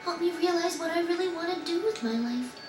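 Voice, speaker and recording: A woman playing a gentle, earnest young girl, sad but resolute, in a video game dub. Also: extremely high pitch, brisk, background noise. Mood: wistful, resolute. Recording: roomy game audio, distant, music over it.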